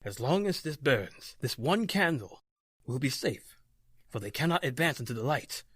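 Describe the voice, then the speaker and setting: An actor playing a grave, fatalistic doctor in a radio horror drama, with an intense, emphatic delivery. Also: male voice, medium pitch, sing-song, moderate pace, fairly bright tone, husky, dry recording, studio quality. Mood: grave, reassuring. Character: grave, fatalistic doctor under siege by dark forces. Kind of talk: old-time radio horror acting.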